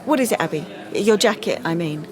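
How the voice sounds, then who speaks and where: A woman's voice: on the low side, animated, a little fast, crowd noise, lightly acted. An actress playing a wary, easily indignant young woman in an audio drama, with clipped, stammering delivery. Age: young adult. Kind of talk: audio drama monologue and dialogue.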